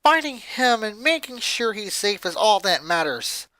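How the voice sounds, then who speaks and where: A firm, protective teenage girl, the eldest sister in a story, as the narrator puts her on. The voice is bright and raised in pitch, earnest and emphatic. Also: big pitch swings, a little slow, no room sound. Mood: firm resolve.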